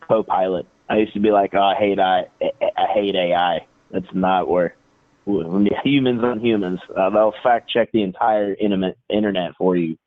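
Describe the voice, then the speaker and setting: A man with a fairly low voice and an American accent talks as a caller to a live show. He is casual and emphatic, often voicing imagined remarks. The sound is narrow and tinny, as over a phone. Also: fairly even intonation, moderate pace. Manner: colloquial, emphatic, acts out quoted remarks. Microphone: phone call. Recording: thin, phone-like line.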